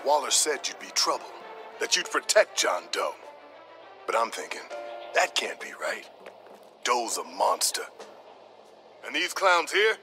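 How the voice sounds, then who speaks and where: A ruthless agent in command in an action game. He talks slowly and deliberately with a hugely dramatic rise and fall, taunting and menacing. Music pounds clearly behind him. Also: mid-range voice, big pitch swings, sharp tone, exaggerated voice. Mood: cold, taunting. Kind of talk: dramatic video game voice acting.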